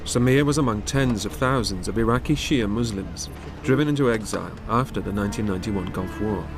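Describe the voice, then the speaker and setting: A man narrating a documentary from a script in a measured, grave manner. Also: fairly low pitch. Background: music under the voice.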